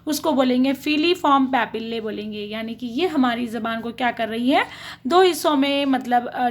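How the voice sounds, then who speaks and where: A woman teacher explaining a biology lesson, often asking a question and then answering it herself, with a steady "okay" between points. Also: high voice. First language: Urdu. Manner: asks questions then answers them, frequent "theek hai".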